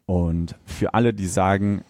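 A man bantering casually as a podcast co-host, informal and quick to jump in with questions. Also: sits low, fairly even intonation, a little mellow, no echo, studio quality.

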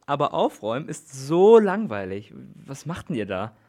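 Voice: medium pitch, sing-song, moderate pace, mellow. Young male radio voice in dialogue. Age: child or teenager. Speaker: youth radio presenter. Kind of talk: scripted radio dialogue about tidying.

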